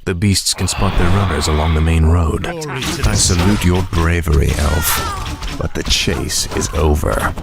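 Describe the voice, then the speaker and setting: An actor playing a cruel, arrogant death knight in a fantasy video game, with a cold, sardonic delivery. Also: male voice, deep voice, measured pace, creaky. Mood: cruel, triumphant.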